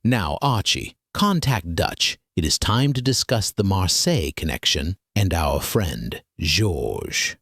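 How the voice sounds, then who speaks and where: An actor playing a pompous, imperious master detective in a mystery audio drama, with formal, ornate, deliberate diction. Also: male voice, sits low, sing-song, a bit bright, breathy edge, dry recording, studio quality, exaggerated voice. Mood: satisfied, commanding. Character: pompous, imperious male master detective, coolly precise and lecturing.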